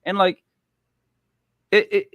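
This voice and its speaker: A man's voice: slow, no room sound, muffled recording. Chatty, joking male podcast host. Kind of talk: podcast interview.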